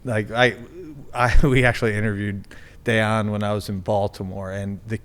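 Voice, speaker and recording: Halting man with a smooth low voice. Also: flat delivery, fairly bright tone. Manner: halting, many fillers and restarts. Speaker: NFL general manager, guest. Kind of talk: casual podcast interview.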